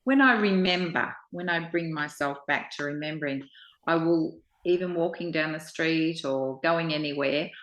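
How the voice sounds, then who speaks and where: A woman sharing personal reflections with halting, thoughtful phrasing in a discussion, with a roomy, echoing sound. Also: low voice, fairly bright tone.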